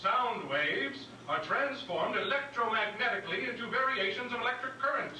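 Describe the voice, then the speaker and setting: A man voicing a pompous 1950s instructional film narrator in slow, solemn, exaggerated diction for a comedy. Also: extremely high pitch, sharp tone, voice pushed up, exaggerated voice. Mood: solemn instructing. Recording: echoey room from far off, noisy.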